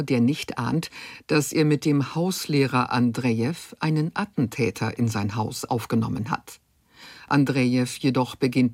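A man's voice: mid-range voice, a little mellow, breathy edge, no echo. A man presenting on radio, reading a scripted introduction at a studio microphone. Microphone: close studio microphone.

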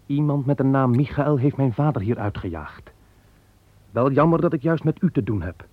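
A man's voice: mid-range voice, expressive, normal speed, dry recording, close to an everyday voice. A man playing a weary, hardworking son of the first man, talking bluntly and plainly in an old radio play. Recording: tinny old radio play recording with faint hiss.

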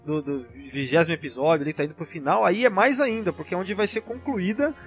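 A man's voice: sits high, fast, faint music behind. A man with a Brazilian accent in a casual fan discussion, explaining things in an enthusiastic, rambling way as a podcast panelist.

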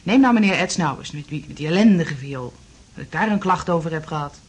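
A woman's voice: low-pitched, expressive, brisk, slightly rough. An actress in a radio drama playing a kindly, fussing, chatty landlady. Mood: motherly, chatty.

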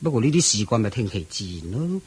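A man playing an anxious, commanding king and father in a Buddhist radio drama, his voice husky. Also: fairly low pitch, sing-song, fast, exaggerated voice. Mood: subdued, evasive.

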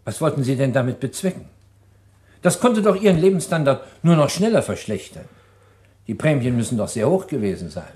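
An actor playing a sceptical public prosecutor in a German radio crime play, questioning in a pointed, incredulous way. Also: male voice, mid-range voice, big pitch swings, a little fast, a little mellow, close to an everyday voice. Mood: probing.

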